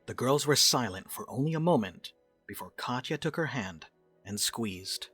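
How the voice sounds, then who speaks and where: A man narrates a scripted drama in a mid-pitched voice. His delivery is animated and moves around freely in pitch, with faint music behind him. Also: normal speed, husky, no echo.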